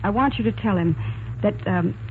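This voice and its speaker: A woman's voice: medium pitch, moderate pace, phone-quality sound, a little hiss or hum, close to an everyday voice. An actress playing a wistful, self-possessed young shopgirl torn in love, in 1940s radio drama style. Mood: tender, resolute. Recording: vintage 1940s radio recording, music behind.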